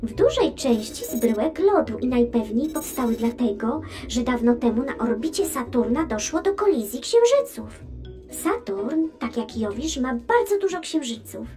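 A woman voicing a cheerful, curious, gently teasing space probe in a children's educational audio drama. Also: high voice, big pitch swings, normal speed, soft background music. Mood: excited explaining. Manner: playful, clear storytelling explanation.

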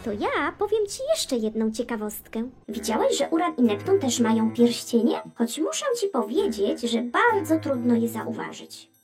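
A talkative space probe in a children's radio play. She is high-pitched and very animated, explaining with curiosity and a wry, teasing edge. Faint music plays behind her. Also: moderate pace, few pauses. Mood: eager, explaining. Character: talking female space probe, chatty, sardonic, curious explorer.

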